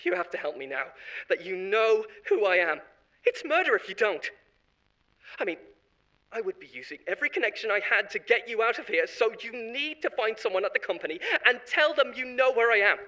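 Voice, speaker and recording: A man's voice: sits high, big pitch swings, brisk, pushed and bright, full character voice. A man voicing a panicked, self-serving male corporate marketer who shifts blame in a horror audio drama, in a wheedling, defensive monologue with nervous laughs. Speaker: voice actor.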